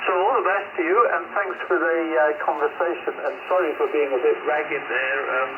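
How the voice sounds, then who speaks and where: An older man talks casually over amateur radio, rambling a little with hesitant pauses. His voice sits slightly high and comes through a thin, narrow radio band with light static. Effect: shortwave radio transmission. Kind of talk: casual ham radio contact. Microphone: radio transceiver mic, heard over the air.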